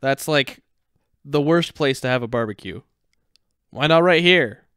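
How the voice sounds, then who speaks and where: Man playing a smooth-voiced, grumpy cartoon dad. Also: medium pitch, unhurried, dry recording, studio quality. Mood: grumbling. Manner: deadpan, grumbling. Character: grumpy, weary, reluctant cartoon father. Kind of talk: fan fiction dramatic reading.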